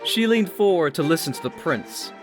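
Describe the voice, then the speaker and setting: Higher-pitched male story narrator over music. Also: expressive, normal speed. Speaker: audiobook-style story narrator. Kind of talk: scripted fan fiction narration. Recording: music playing behind the voice.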